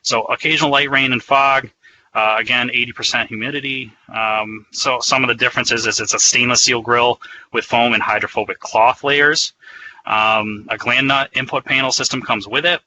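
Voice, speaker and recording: Bright, sharp-voiced man presenting products. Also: medium pitch, fairly even intonation, normal speed, slight background noise, rough recording. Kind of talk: webinar product presentation, semi-scripted.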